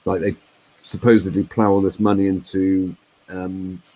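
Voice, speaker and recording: A man's voice: deep voice, flat delivery, moderate pace, warm tone, poor audio. A man hosting a call-in chat, musing aloud and hesitant with many fillers. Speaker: host.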